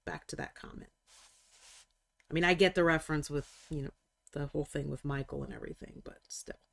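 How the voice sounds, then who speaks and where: A woman in her forties chatting casually while painting miniatures on a live stream, talkative, joking about herself and quick to apologize. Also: low-pitched, fairly even intonation, gravelly. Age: 40s.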